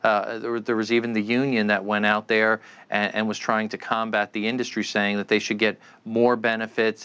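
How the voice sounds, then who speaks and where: A man's voice: on the low side, pushed and bright, clear, resonant tone. A man giving unscripted expert answers in an interview, explaining things with frequent stammered repeats. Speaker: expert guest, commentator.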